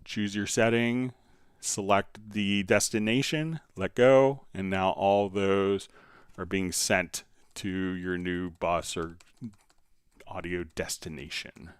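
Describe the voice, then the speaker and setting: Low-voiced man hosting a software tutorial. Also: steady tone, slow, smooth, no echo. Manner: conversational, step-by-step explaining. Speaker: livestream host, software instructor. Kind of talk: casual live tutorial, answering viewer questions.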